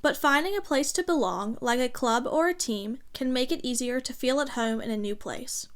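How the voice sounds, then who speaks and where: Woman narrating a documentary. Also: sits high. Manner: measured, read-aloud.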